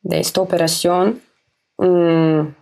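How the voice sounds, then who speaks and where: A woman's voice: lowish voice, fairly even intonation, takes it slowly, vocal fry, darkish tone. A young woman explaining trading steps in a casual screen-recorded tutorial, speaking simple as a with repeats and small self-corrections. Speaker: trading video host. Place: indoors at home, webcam in corner.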